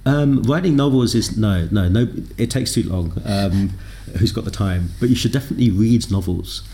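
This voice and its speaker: Podcast guest: deep, gravelly man, mellow and thoughtful, wry self-deprecating asides. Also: normal speed. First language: English. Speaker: podcast guest, software developer. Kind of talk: conversational interview.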